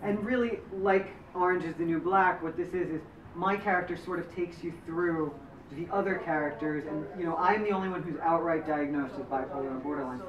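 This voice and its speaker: A man's voice: fairly high pitch, a little fast, lo-fi sound, a crowd in the background. Chatty man answering questions far off. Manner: rambling, full of fillers and restarts. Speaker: memoir author and lead actor. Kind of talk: post-screening Q&A answers. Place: community center screening room. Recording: echoey room from far off with background noise.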